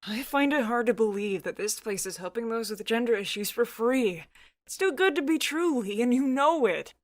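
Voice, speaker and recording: A woman's voice: on the high side, lively intonation, normal speed, no room sound, voice pushed up, lightly acted. A woman plays a young transfeminine friend in a visual novel story, doubting and anxious. The delivery is earnest with a sarcastic, whiny edge, recorded very dry. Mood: sceptical, sarcastic.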